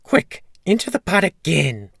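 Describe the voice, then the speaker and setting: A man's voice: on the high side, very animated, a little slow, no echo, studio quality, voice pushed up, exaggerated voice. A man voicing a giant's grumpy, fretful wife in a storybook, with a scolding, exclamatory manner. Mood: frightened, urgent. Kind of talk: storybook character voice.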